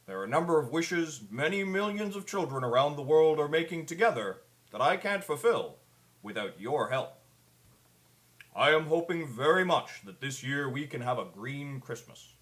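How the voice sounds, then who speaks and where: A man's voice: fairly high pitch, lively intonation, normal speed, a bit bright. A man playing a kindly, concerned old Santa Claus, giving an earnest, pleading scripted public address. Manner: earnest, pleading storyteller delivery.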